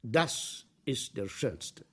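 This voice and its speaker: An actor in a radio play playing an obsequious, fervid sewer inspector who tells grisly tales with husky emphasis and deferential asides. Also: male voice, mid-range voice, big pitch swings, drawn-out words, full character voice. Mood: relishing. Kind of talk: 1970s German radio play acting.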